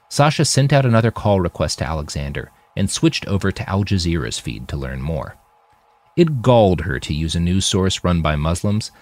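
A man's voice: low voice, no echo. A man reading a novel aloud as scripted audiobook narration, expressively acting out the dialogue. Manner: expressive, acts out quoted dialogue. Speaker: author reading his fiction aloud.

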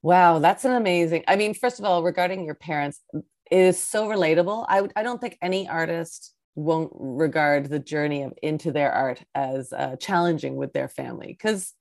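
A woman's voice: deep voice, moderate pace, darkish tone. A woman hosting a podcast interview, chatty and gushing with enthusiasm. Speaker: podcast host.

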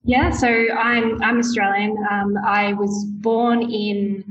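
A woman in her thirties who grew up in Zambia, a dietitian recounting her path as a guest with lively restarts. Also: mid-range voice, lo-fi sound. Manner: lively storytelling, halting restarts. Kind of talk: casual interview chat.

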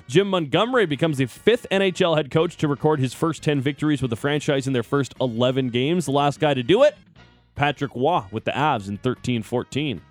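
A man's voice: on the high side, brisk. A man co-hosting a sports show, running through hockey scores in slangy, casual banter. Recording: music playing behind the voice. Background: music bed.

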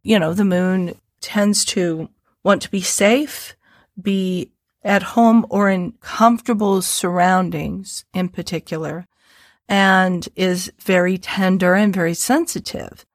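A woman with a slightly twangy Midwestern American accent, an astrologer co-hosting a podcast and explaining things in a relaxed chatty way. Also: fairly low pitch, takes it slowly, no room sound, clean studio sound. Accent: Midwestern American, a little twangy. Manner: explanatory, relaxed, uses homely images. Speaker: astrologer co-host.

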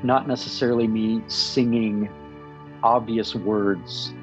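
A man in his fifties, a musician answering interview questions in an earnest, thoughtful way, often pausing and restarting. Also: on the low side, fairly even intonation, music in the background. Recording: slightly dull recording.

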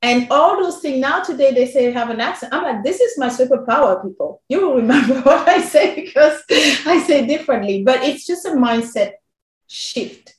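A woman with a non-native accent tells her own story as a podcast guest, lively and laughing often as she acts out past conversations. Her voice sits fairly high. The room around her has a roomy echo. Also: moderate pace. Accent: non-native English accent. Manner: animated, laughs often, quotes herself. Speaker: working mother. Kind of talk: candid personal storytelling.

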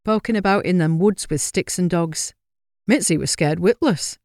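A woman voicing an elderly countryman with a northern accent, speaking slowly and plainly in a storybook reading. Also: fairly low pitch, a little mellow, dry recording, studio quality, lightly acted. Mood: wary, kindly. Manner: slow, plain-spoken. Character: slow-spoken elderly countryman walking his dog.

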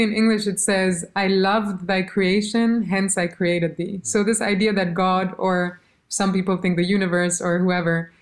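A woman musician being interviewed, telling stories in a lively, casual way and quoting others as she goes. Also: medium pitch. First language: German. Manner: animated storytelling, quotes others, laughs. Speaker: musician guest.

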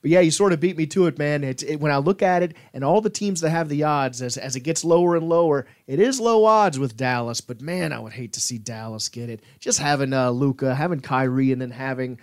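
A man's voice: fairly high pitch, fairly quick, hardly any pauses. A man hosting a casual sports talk show in a jokey, chatty way. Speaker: podcast host.

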